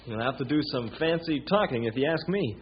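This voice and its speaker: An actor playing a wry, doubtful ranger's sidekick with a folksy way of talking, in an old-time radio western. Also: male voice, mid-range voice, animated, brisk, close to an everyday voice. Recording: noisy, dull old radio recording.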